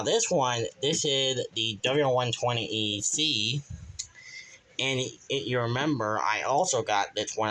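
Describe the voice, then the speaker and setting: A man's voice: on the low side, bright, forceful tone, rough recording. A man from Kansas talking casually in a show-and-tell vlog from his bedroom, rambling with many restarts and a repeated verbal tic. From Kansas, USA. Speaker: channel owner, weather radio hobbyist.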